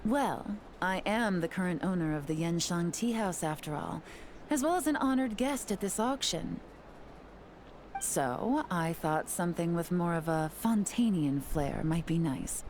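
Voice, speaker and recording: A woman playing a composed, teasing, elegant undercover agent in a video game, speaking in a measured, confident way. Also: on the low side, lively intonation, a little mellow, a little hiss or hum. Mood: playful, confident.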